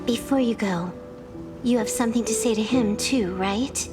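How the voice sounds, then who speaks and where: A woman's voice: on the high side, moderate pace, over loud music, lightly acted. A woman playing a cool, cheeky young teammate in a video game, with a laid-back, dry delivery. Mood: quietly sad.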